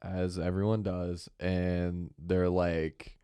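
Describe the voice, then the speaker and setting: Curious man with a low, smooth voice. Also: level tone, dark tone, dry recording. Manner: curious, short quick questions and reactions. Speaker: podcast host. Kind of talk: casual interview chat.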